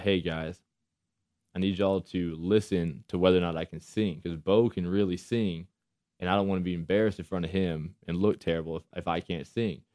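A man with a deep voice, chatting casually as a football player guest and laughing often. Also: a little mellow, no echo, clean studio sound. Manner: laid-back, laughs often. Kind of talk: casual chat.

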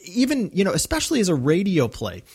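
A man's voice: high-pitched, huge pitch swings, fast talker, a little mellow, breathy edge. A man co-hosting a podcast in casual fan discussion, enthusiastic and rambling. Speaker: podcast co-host.

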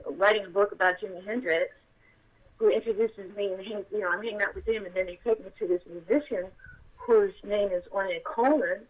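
A middle-aged woman with a childlike voice, telling her story as an interview guest and acting out the dialogue with sudden jumps in pitch. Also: muffled recording. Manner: excitable, reenacts dialogue with big pitch jumps.

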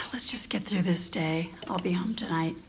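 A woman's voice: lowish voice, measured pace, slight background noise. An actress playing a guarded, weary woman in understated, naturalistic audio drama dialogue. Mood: curt, weary. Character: guarded, weary woman keeping others at arm's length. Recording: faint background ambience.

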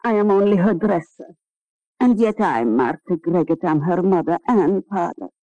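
Woman as devoted, mellow-voiced old-radio dresser. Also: lowish voice, normal speed, no echo, muffled recording, lightly acted. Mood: earnest, pleading. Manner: earnest, sentimental, theatrical. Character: devoted, pleading motherly female theatrical dresser to a young singer. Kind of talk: 1940s radio drama acting.

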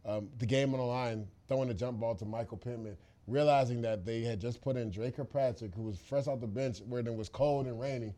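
A man's voice: mid-range voice, fairly quick, few pauses, dark tone. A man co-hosting a football podcast, giving emphatic, opinionated analysis in a casual, conversational way.

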